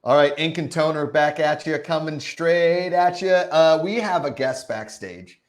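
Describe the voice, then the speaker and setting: Male host, voice a little higher than average, informal and jokey live talk show banter. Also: a little slow.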